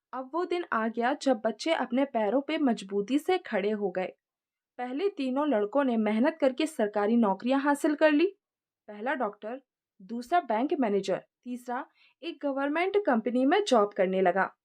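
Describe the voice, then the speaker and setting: A woman narrates a moral story as a storyteller. Her voice is very high, and she takes her time over each phrase in a scripted delivery. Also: animated, measured pace, darkish tone.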